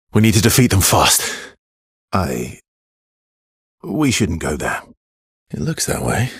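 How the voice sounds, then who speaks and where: Male game hero: weary, guarded expeditioner with quiet regret. Very raspy, low voice, understated and brooding. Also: no room sound, clean studio sound, exaggerated voice. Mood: urgent. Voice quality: husky, breathy. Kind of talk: video game voice lines, dramatic acting.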